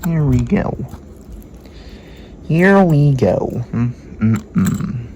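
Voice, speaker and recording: A man's voice: mid-range voice, animated, drawn-out words, dark tone. A man thinking aloud in casual, sweary repair commentary, muttering and musing to himself as he works on a noisy home video. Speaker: hobbyist radio repairer filming his work.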